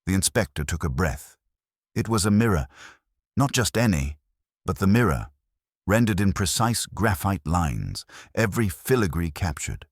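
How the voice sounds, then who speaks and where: A man reads scripted mystery narration in a measured, deliberate way, with dramatic pauses and a husky voice. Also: big pitch swings, no room sound, studio quality. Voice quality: very deep, husky. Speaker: story narrator.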